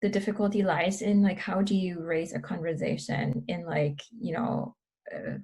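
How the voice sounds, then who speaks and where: A woman researcher in a casual panel discussion, talking thoughtfully and pausing often with fillers. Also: on the low side, steady tone, mellow. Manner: thoughtful, pauses with many fillers. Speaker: researcher guest.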